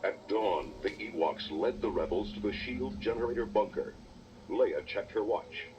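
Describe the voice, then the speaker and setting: A man's voice: on the low side, moderate pace, background noise. A man narrating a children's read-along adventure in a dramatic, scripted storytelling style. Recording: muffled distorted toy cassette playback. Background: loud dramatic music.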